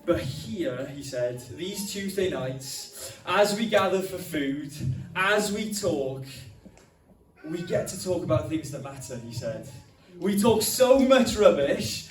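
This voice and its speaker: A man's voice: on the high side, expressive, takes it slowly. A young man preaching a sermon in a chatty, storytelling way, with many ums. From Wales. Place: church hall. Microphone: handheld mic. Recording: echoey hall from a distance.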